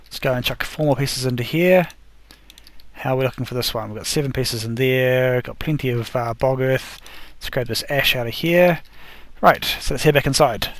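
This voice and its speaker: A man's voice: mid-range voice, moderate pace. A man casually talking through his game build step by step, often correcting himself, his voice a little worn. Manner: rambling, self-correcting, talks through each step. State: losing his voice. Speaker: gaming video host. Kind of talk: casual gameplay commentary.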